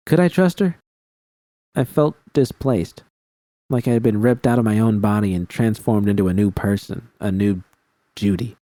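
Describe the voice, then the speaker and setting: A man's voice: fairly low pitch, normal speed, dark tone, no echo. A man narrating scripted first-person fiction as an audiobook reader.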